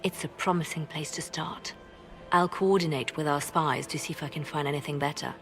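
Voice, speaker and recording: An actress playing a calm, businesslike female spymaster in a video game cutscene. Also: low-pitched, a little fast, lightly acted. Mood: brisk. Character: cool scheming female spymaster. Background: music.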